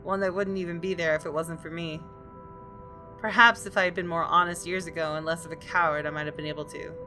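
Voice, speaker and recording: Lower-voiced woman gamer reading aloud. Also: steady tone, fast, sharp tone, soft background music. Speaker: gaming video host. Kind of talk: reading visual novel narration aloud with casual asides.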